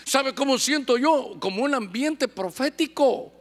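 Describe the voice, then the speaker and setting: High-voiced male preacher, lively and joking, acts out little scenes. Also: measured pace. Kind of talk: sermon.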